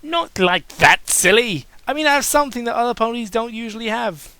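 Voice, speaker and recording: A man's voice: very high-pitched, animated, a little fast, pushed and bright, no echo, voice pushed up, exaggerated voice. A man voicing a bubbly but anxious female cartoon pony, earnest and pleading, in a dramatic story reading. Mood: playful. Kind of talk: dramatic reading of fan fiction.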